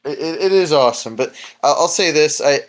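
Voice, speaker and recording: A man chatting casually as a co-host, with a halting, stammering delivery full of repeated words and casual swearing. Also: on the high side. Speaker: podcast co-host discussing a webcomic episode. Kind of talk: casual chat.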